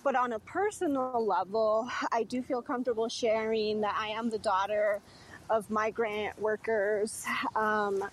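A woman speaking as an academic guest on live radio, giving measured answers. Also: on the high side, fairly even intonation, low-quality recording. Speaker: historian, professor as radio guest. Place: outdoors, outside a detention center. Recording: faint outdoor background noise.

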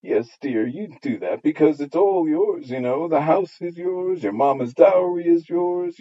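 Man putting on a shrill gossiping old neighbor woman. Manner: shrill, fussing, loud. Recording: dull lo-fi audio.

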